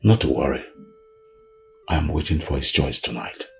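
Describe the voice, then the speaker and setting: An actor playing a proud, wrathful king and father in a film drama, speaking with slow, weighty emphasis and a gravelly voice. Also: extremely low pitch, animated, soft background music, exaggerated voice. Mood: bitter, indignant.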